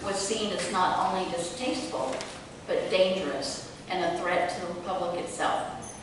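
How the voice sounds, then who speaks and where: Woman narrator, lower register, scripted live introduction; very reverberant, distant room with clear noise. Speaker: narrator introducing a dramatic reading. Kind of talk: scripted narration read aloud. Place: museum room, live community theatre event. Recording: echoey hall from far off, noisy.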